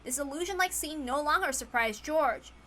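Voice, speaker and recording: A woman narrates a fantasy story in a high, bright voice. Her delivery is dramatic and scripted, like an audiobook reading of a visual novel. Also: lively intonation, normal speed.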